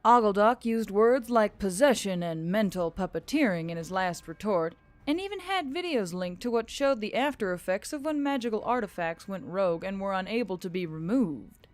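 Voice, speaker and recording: A woman's voice: mid-range voice, animated, moderate pace, few pauses, faint music behind. A woman reading a scripted story as a narrator. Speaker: audiobook-style narrator.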